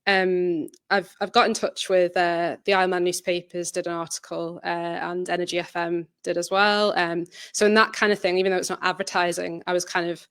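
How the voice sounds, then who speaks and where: A woman with a lowish voice answers questions about her creative work in a conversational way. Her delivery stays very level and a little hesitant, qualifying thoughts as she goes. The sound is dry and close, with little room. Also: little pitch movement, a little fast, hardly any pauses, clear, resonant tone, dry recording. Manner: hesitant, self-reflective, trailing qualifications. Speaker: audio drama writer and presenter. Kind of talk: informal presentation Q&A, conversational answers.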